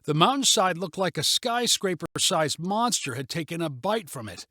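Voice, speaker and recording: A man reads a story as scripted audiobook narration. His voice is fairly high and very animated in pitch. The recording is close and dry. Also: normal speed, no echo.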